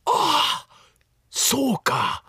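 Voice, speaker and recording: An actor with a Kansai accent playing a panicky male researcher fleeing zombies in a comedic radio drama, urgent and excitable. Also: very high-pitched, drawn-out words, no room sound, studio quality, exaggerated voice. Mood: earnest, hopeful.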